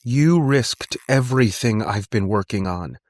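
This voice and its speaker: A man plays a driven woman inventor in an audiobook story, impassioned and defiant. The voice is lifted above a deep natural register and argues with raw emotion, recorded close and dry. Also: expressive, unhurried, darkish tone, no echo. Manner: impassioned, argumentative.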